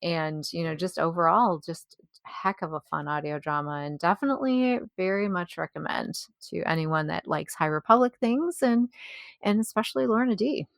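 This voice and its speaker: A woman's voice: fairly low pitch, lively intonation, moderate pace, gravelly, dry recording. A woman talking casually as the co-host of a podcast.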